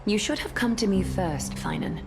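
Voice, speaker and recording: A woman's voice: medium pitch, sing-song, normal speed, darkish tone, a little hiss or hum. An actress playing a stern, composed noblewoman who leads, formal and cool, in a video game. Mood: reproachful. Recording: music under the voice.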